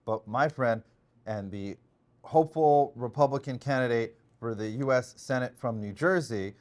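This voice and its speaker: A man's voice: medium pitch, normal speed, darkish tone. Hammy, jokey male interviewer. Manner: jokey, hammy, stumbling over words. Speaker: political analyst and host. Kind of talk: casual interview.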